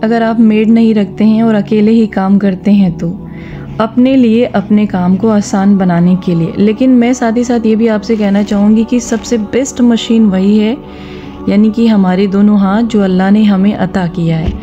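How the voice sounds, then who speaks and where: A woman's voice: medium pitch, steady tone, moderate pace, dark tone, lo-fi sound, faint music behind. A woman narrating a vlog, giving instructive advice directly to her viewers. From India. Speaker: vlogger. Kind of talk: vlog voice-over with gentle religious advice.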